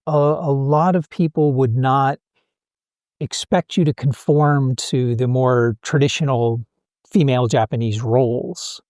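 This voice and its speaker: A man's voice: medium pitch, steady tone, measured pace, a little mellow, no room sound, clean studio sound. A man hosting an interview podcast, asking questions in a casual way and thinking aloud with fillers. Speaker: podcast host. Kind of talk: interviewing a guest.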